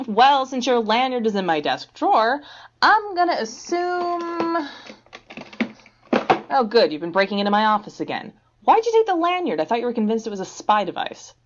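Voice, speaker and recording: Woman playing a lively, wry lady professor. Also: a little high, normal speed, noisy background. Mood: annoyed teasing. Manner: animated, wry, bantering. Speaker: actor in an audio drama. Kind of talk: fiction podcast acting. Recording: slightly dull, lo-fi sound.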